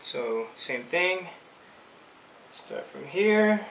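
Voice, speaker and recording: A man with a Filipino accent and a bright, forward voice gives a casual hands-on demonstration. He is picked up from a distance in an echoey room. He explains each step in an unscripted, informal way. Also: high-pitched, sing-song, extremely slow. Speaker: screen printing instructor. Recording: echoey room, distant mic, faint noise.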